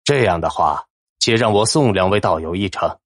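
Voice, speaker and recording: A man's voice: low voice, lively intonation, normal speed, no echo, clean studio sound. A man voicing a deferential, hospitable Taoist temple deacon in an audiobook, polite and solicitous. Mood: courteous. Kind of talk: audiobook character acting.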